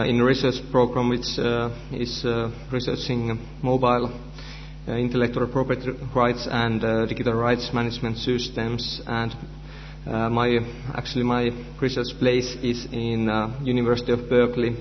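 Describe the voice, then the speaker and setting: A Finnish-accented man, a native Finnish speaker, presents at a conference, halting and full of fillers and restarts, his voice staying flat in pitch. It is a dull recording with long reverb from a hall, and a faint hum sits underneath. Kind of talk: conference lecture.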